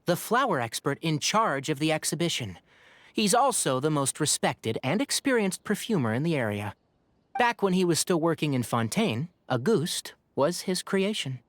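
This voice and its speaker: An actor playing a helpful, level-headed young forest ranger in a video game, precise and informative. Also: male voice, fairly high pitch, big pitch swings, moderate pace, dry recording.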